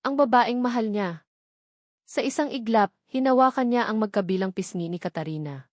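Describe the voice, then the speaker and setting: A woman's voice: fairly high pitch, moderate pace, no echo, clean studio sound. A woman narrating a romantic drama in an emotive storytelling manner.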